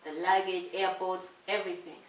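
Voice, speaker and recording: A woman from Tanzania, a medical student, answering in a relaxed, hesitant way. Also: fairly low pitch, normal speed. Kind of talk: casual chat. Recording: echoey room, far from mic.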